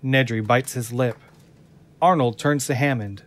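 A man's voice: mid-range voice, unhurried. A man narrating an audio drama from a script, describing the action.

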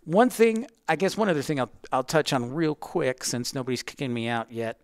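Male educator-host for a casual livestream. Mid-pitched, clean voice, rambling conversational delivery, very little room sound. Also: big pitch swings, normal speed, smooth, no echo, clean studio sound. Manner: chatty, rambling, addressing viewers by name. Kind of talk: casual livestream chat with viewers.

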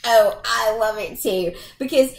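A woman's voice: fairly high pitch, measured pace, vocal fry, roomy sound. A woman co-hosting a podcast with casual, playful banter and teasing, exclamatory reactions. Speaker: podcast co-host.

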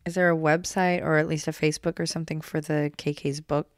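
Deep-voiced woman co-host, very dry sound. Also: level tone, fast talker, no room sound. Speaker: true crime podcast co-host. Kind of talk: casual chat, reacting to a story.

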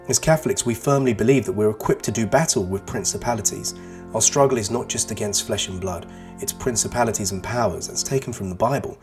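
A man's voice: mid-range voice, a little mellow. A man in his thirties from East London, whose first language is Arabic, giving an earnest, persuasive lesson to pupils with emphatic repetition. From England. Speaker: teacher. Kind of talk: voice-over religious lesson for pupils. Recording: voice-over with faint guitar music.

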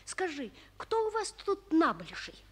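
A plucky little boy with a very high, lively voice in old radio drama style. He speaks up earnestly and with compassion. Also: unhurried, heavily acted. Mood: determined. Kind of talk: 1940s radio play acting.

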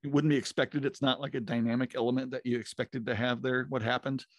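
A man's voice: mid-range voice, flat delivery, fairly quick, no room sound. A man in his fifties co-hosting a podcast from a home music studio, chatting casually about audio tools with lots of fillers and restarts. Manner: rambling, many fillers and restarts. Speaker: podcast co-host. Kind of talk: casual technical chat. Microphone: studio mic with headphones, video call.

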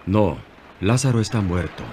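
Male actor as calm holy teacher, low voice, widely varied intonation, solemn and authoritative, animated Bible film. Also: slight background noise, lightly acted. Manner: solemn, measured, declarative.

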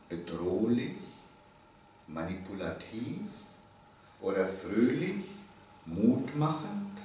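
The recording is roomy and heavily echoing, with the voice some way from the microphone. A man in his early seventies lectures with a very lively, swinging pitch. He is emphatic and deliberate, pausing on key words and posing rhetorical questions. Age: early 70s. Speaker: lecturer, theology professor. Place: indoor seminar room. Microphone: microphone on a stand. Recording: very echoey room, distant, faint noise.

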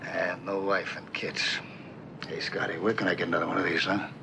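Jaded American executive, noisy soundtrack. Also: male voice, low voice, flat delivery, brisk, gravelly. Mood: weary sarcasm. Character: jaded, sardonic middle-aged male corporate executive. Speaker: actor in a television drama. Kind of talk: 1960s television drama acting. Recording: noisy old TV film soundtrack.

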